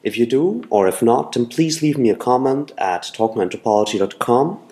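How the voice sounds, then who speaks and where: A man hosting a podcast, reading a steady scripted introduction. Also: medium pitch, normal speed. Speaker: podcast host. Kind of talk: scripted intro then interview.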